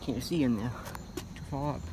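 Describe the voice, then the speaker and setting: Male urban-explorer vlogger, casual phone-recorded narration outdoors, average pitch, heavy background noise. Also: moderate pace. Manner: repeats himself, rises loud on exclamations. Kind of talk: casual walking vlog narration. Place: outdoors at an empty building and parking lot.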